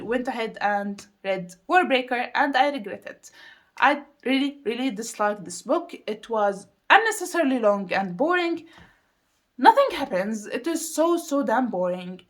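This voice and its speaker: A woman speaking casually to viewers as a vlogging reviewer, emphatic and repeating words for stress. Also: fairly high pitch. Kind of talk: casual chatty video monologue to viewers.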